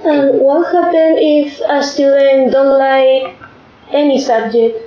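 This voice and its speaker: A woman's voice: high-pitched, level tone, slow pace. A woman interviewer asking hesitant questions in English. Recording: echoey, dull audio.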